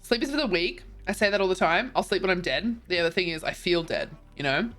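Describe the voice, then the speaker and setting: A woman's voice: on the low side, expressive, fast, a bit bright. A tired, congested woman, chatting casually as a streamer and reading viewer messages, rambling with lots of ums and likes.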